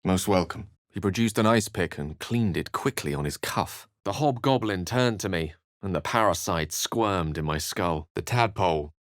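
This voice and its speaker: British wizard for a video game, low voice, super dry sound, wry n bookish, kinda theatrical. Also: male voice, animated, normal speed, no echo. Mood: wry, thoughtful, then measured storytelling. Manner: articulate, bookish, theatrical phrasing. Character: eloquent, urbane male wizard, wry and courteous. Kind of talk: video game character voice acting.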